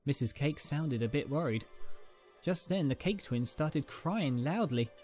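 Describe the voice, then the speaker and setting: A man's voice: fairly high pitch, animated, unhurried, a little mellow, soft background music. A man reading a scripted dramatic story as its narrator.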